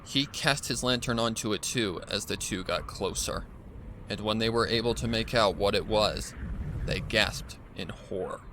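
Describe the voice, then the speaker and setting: A man reading a scripted horror story as narrator in a deliberate storytelling manner. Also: fairly low pitch, fairly bright tone, low-quality recording.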